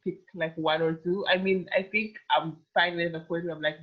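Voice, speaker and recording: A woman's voice: fairly low pitch, steady tone, brisk. A woman in her twenties from Uganda, chatting as a guest in a casual interview and rambling with lots of "like" fillers. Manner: rambling, heavy use of "like" fillers. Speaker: guest, fashion model. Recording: tinny phone-like call audio.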